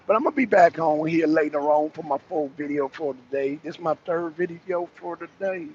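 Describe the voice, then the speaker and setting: Raspy high-voiced man ranting. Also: fairly quick, a little mellow, dull audio, a little hiss or hum. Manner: blunt and profane, calling out rivals. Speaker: host. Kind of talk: casual rant to a live audience. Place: in his truck. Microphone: phone camera.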